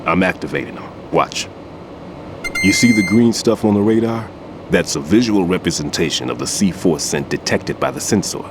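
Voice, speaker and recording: A man's voice: low-pitched, animated, normal speed, background noise. An actor playing an older male bomb-disposal expert and rueful mentor, patient and lecturing. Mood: instructive. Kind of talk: game cutscene acting. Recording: music under the voice.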